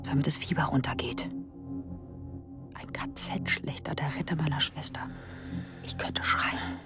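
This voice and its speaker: An actress playing an anxious young woman in a radio drama, with a breathy voice that often drops to a whisper. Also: low-pitched, measured pace, over loud music. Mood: bitter, anguished. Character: anxious young woman worried about sick sister. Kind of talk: radio drama acting with inner monologue.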